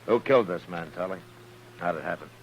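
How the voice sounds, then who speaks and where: An actor playing a steady, laconic U.S. marshal in a 1950s radio western, measured and authoritative. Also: male voice, deep voice, natural voice. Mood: stern, probing. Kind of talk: 1950s radio drama acting. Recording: dull vintage radio recording, faint noise.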